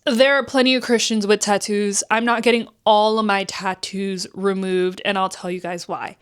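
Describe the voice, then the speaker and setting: A woman's voice: fairly even intonation, normal speed, smooth. A woman in her twenties from New Jersey talking candidly to camera in a casual vlog. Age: 20s. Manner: chatty, candid, acts out quotes with pitch swings. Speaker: vlogger.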